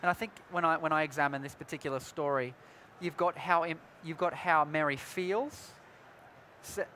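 A man's voice: on the high side, normal speed, sharp tone, slight background noise. A young man co-hosting a live youth Bible discussion, reflective and story-driven, repeating points for emphasis. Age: young adult. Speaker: co-host of a youth Bible panel. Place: large indoor convention hall stage with crowd. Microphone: headset mic.

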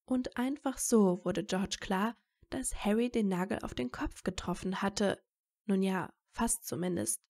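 A woman narrates a piece of fan fiction as an audio story. Her voice sits at a medium pitch, and she reads from a script as the storyteller. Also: measured pace, darkish tone.

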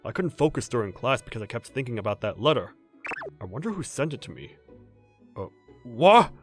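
A man's voice: medium pitch, very animated, a little fast, soft background music, close to an everyday voice. Man playing a flustered, earnest teenage schoolboy. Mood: nervous. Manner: earnest, stammering when flustered. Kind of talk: manga dub voice acting, scripted.

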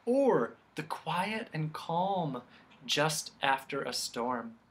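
A storyteller voicing a gentle, wistful female guardian of sounds who talks softly and at length in a storybook tale. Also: medium pitch, sing-song, extremely slow, exaggerated voice. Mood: dreamy, wistful. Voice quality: soft, gentle. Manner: talkative, sighing, savoring each phrase.

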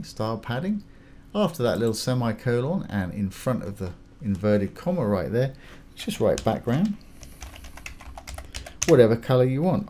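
Low, mellow-voiced man giving a tutorial. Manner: informal, spells out terms, talks through each action. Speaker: tutorial host. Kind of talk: casual step-by-step software tutorial. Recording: clear background noise, keyboard typing.

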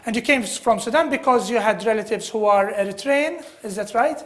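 A man's voice: high-pitched, a little fast. A Maltese man in his thirties hosts a current affairs interview in a TV studio. He moves between Maltese and simple, careful English and keeps repeating back what his guest says.

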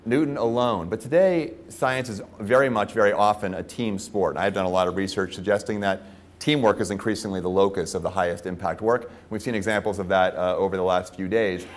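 A man in his forties opening a conference panel as moderator, speaking in a measured, articulate way on a lapel mic. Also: sits low. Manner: measured, articulate, with frequent "uh" fillers. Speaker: moderator, professor. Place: conference stage.

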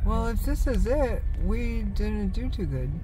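A woman's voice: a little high, expressive, measured pace. A woman vlogging from a moving car, wondering aloud in a chatty, hopeful way as she looks around. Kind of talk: casual chat in a car. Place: inside a moving car on country roads. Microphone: camera on the dashboard. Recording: music playing loudly under the voice. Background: music and car noise.

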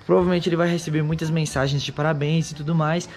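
A hoarse young man talks straight to his viewers, fairly high-pitched and chatty. He holds an action camera at arm's length outdoors on a patio, with faint music under his voice. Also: flat delivery, quick pace, a little mellow. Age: young adult. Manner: chatty, addresses viewers directly. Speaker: vlogger. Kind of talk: casual vlog chat to camera. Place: outdoor patio, then a house kitchen. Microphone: handheld action camera at arm's length.

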